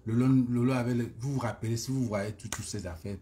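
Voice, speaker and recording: A man's voice: medium pitch, fast talker, dark tone, a little hiss or hum. A man with a Cameroonian accent ranting informally as a social media commentator, emphatic and hammering phrases again and again. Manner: emphatic, repeats phrases insistently. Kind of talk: informal live-stream rant.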